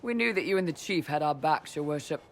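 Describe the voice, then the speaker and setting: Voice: medium pitch, animated, fast, a little hiss or hum, close to an everyday voice. An actor playing a cheerful, loyal young male mercenary lieutenant in a fantasy game, easygoing and respectful. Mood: cheerful, cheeky. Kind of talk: cinematic fantasy game dialogue.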